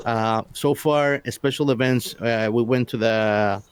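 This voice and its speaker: A man's voice: medium pitch, fairly even intonation, measured pace, smooth, dry recording. A man being interviewed as a guest, explaining things in an informal, casual conversational way.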